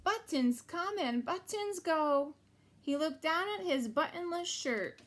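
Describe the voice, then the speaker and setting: A woman's voice: sits high, lively intonation, slow pace, fairly bright tone. A woman reading a picture book aloud to young children as a teacher, with sing-song, exaggerated expression.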